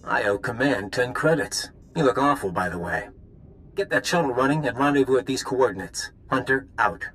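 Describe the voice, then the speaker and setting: An actor playing a cocky, ruthless spy handler in a video game, speaking in a wry, brisk, commanding way. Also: male voice, mid-range voice, expressive, fairly bright tone, lightly acted, rough recording.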